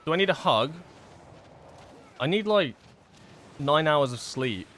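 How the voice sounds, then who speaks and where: A young man giving casual livestream commentary while gaming, chatty and self-deprecating with excitable outbursts, his voice going hoarse. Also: on the high side, slow, a little hiss or hum. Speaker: gaming streamer.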